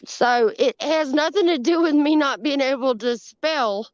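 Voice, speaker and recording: Southern woman guest, talks slowly and emphatically, slightly high voice, dull dry line. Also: no room sound. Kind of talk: casual chat on a live stream. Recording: dull dry call audio.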